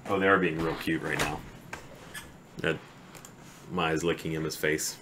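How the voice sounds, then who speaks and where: Male streamer, low and bright-edged voice, halting casual chat at a desk microphone. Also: steady tone, a little hiss or hum. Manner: halting, trails off into quiet mumbles. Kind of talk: slow, deliberate poetry reading.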